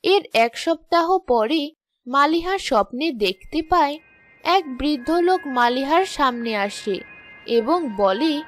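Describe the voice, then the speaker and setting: Slow, high-voiced female story narrator. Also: expressive. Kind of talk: scripted children's story narration.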